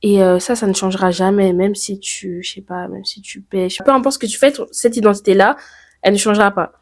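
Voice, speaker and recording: A woman's voice: mid-range voice, fairly even intonation, fairly quick, darkish tone. A teenage girl from France, talking to her camera in a personal vlog and thinking aloud with many fillers and rhetorical questions. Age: teens. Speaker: YouTuber.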